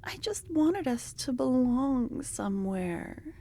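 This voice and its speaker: A woman voicing an obsessive, possessive female cultist lover in an ASMR roleplay, talking softly and slipping into whispers, tender yet menacing. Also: high voice, very animated, slow, exaggerated voice. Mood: bitter, regretful. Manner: soft-spoken, drifting into whispers.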